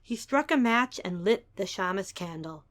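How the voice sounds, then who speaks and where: A woman's voice: medium pitch, expressive, normal speed, a bit bright. A woman narrator giving a scripted, dramatic reading of a storybook tale.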